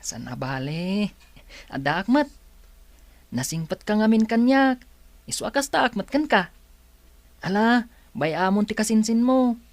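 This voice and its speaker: A woman's voice: medium pitch, lively intonation, a little slow, long pauses, darkish tone, no echo. A woman voicing a friendly, loyal young male best friend in a radio drama, reassuring and companionable.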